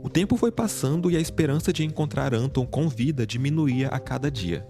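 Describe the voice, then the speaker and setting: A man giving a scripted, measured true-crime narration. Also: mid-range voice, lively intonation. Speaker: narrator of the reacted video. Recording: music bed under narration. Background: background music.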